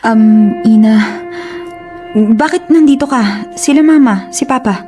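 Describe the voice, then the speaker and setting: A woman's voice: on the high side, slow pace, mellow, faint music behind. An actress playing an earnest, conflicted young woman in love in a radio drama, with a Filipino accent and a hesitant manner. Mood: startled, uneasy. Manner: hesitant, explanatory.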